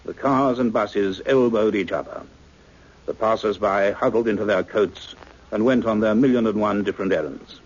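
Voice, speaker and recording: An actor playing an earnest, troubled British scientist who narrates his story in a measured, reflective way in a radio serial. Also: on the low side, husky. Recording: muffled old-time radio recording.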